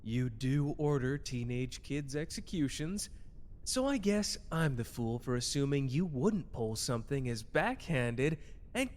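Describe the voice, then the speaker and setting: Cocky young male sorcerer played by a man, sardonic and slangy, protective clan head for anime roleplay audio; long reverb. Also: mid-range voice. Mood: cold, commanding. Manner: taunting, sardonic, casual and slangy. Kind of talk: character roleplay audio drama addressed to the listener.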